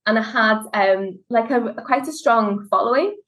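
A woman's voice: a little high, moderate pace, a bit bright. A woman from Liverpool with a Scouse accent, a guest in an interview, telling her story in a chatty way with lots of fillers. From Liverpool, England. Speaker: guest, HR consultancy director. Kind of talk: conversational interview.

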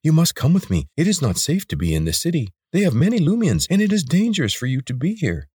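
A man's voice: fairly high pitch, huge pitch swings, fast, a little mellow, slightly rough, no room sound, studio quality, voice pushed up, full character voice. A man voicing a lizard servant who speaks stiffly and formally without contractions. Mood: urgent.

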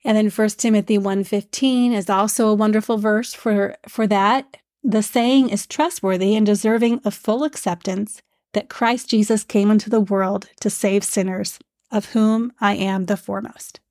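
A woman with a stuffed-up nose hosting a devotional hymn podcast, speaking in a gentle, teacherly way with frequent fillers. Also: medium pitch, fairly even intonation, normal speed, no echo, studio quality. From Iowa, USA. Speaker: podcast host.

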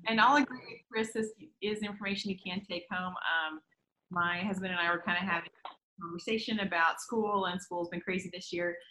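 A woman speaks quickly, in a bright, crisp tone. She is a guest in a casual studio chat and shares her experiences eagerly and warmly. Her pace and brightness stay steady. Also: lowish voice, slight background noise, low-quality recording. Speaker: guest employee.

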